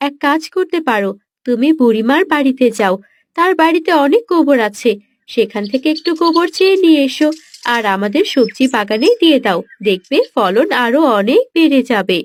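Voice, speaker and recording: A woman plays a practical young village wife in a cartoon tale. Her delivery is brisk and cheerful, like everyday advice. Music runs behind the voice. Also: high-pitched, lively intonation, no room sound. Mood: practical, advising. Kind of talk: dramatised cartoon story dialogue.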